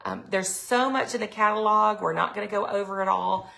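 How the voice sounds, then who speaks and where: A middle-aged woman, chatting casually on a live sales stream, her throat a little raspy from allergies. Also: medium pitch, steady tone, brisk, bright, forceful tone. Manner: chatty, lots of ums, reads viewer comments aloud. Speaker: product consultant hosting a live sales stream.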